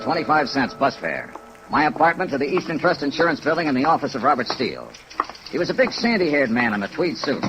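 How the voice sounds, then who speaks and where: An actor playing a wry, hard-boiled American insurance investigator, clipped and laconic, in 1950s radio detective style. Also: male voice, fairly high pitch, natural voice. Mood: brisk narrating. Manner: clipped, laconic, matter-of-fact. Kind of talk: 1950s radio detective acting, first-person narration. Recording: noisy vintage 1950s radio recording.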